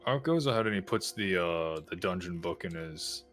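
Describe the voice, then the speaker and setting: A man's voice: sits low, expressive, normal speed, a bit bright, soft background music. A man playing a tabletop roleplay game, chatting casually as himself and his character.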